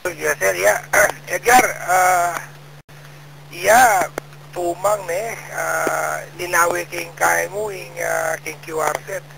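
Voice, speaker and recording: Filipino male radio amateur, high voice full of heavy creak, casual exchange over a clearly noisy link. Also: steady tone, takes it slowly. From Philippines, Pampanga region.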